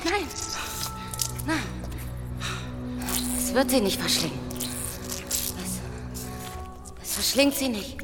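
Urgent, very high-voiced young girl. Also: very animated, drawn-out words, pauses often, over loud music, heavily acted. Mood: alarmed. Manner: urgent, commanding. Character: urgent, bold young girl in a medieval fantasy cave. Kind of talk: German radio play acting.

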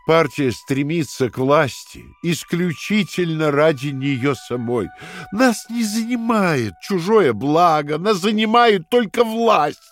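An actor playing a calm, condescending party interrogator who lectures in a measured, fanatical way in an audio drama. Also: male voice, fairly high pitch, faint music behind. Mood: fervent, preaching. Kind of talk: dramatic audio play acting.

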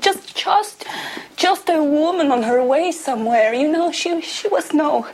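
An actress playing a haunted, resigned young woman prisoner in a film drama, speaking haltingly and dropping toward whispers. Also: sits high, full character voice.

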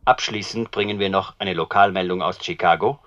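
A man's voice: sits low, a little fast, fairly bright tone, soft background music. An actor playing a formal, matter-of-fact male radio newsreader, with precise official delivery and a dull radio sound. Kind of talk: news bulletin in a radio play.

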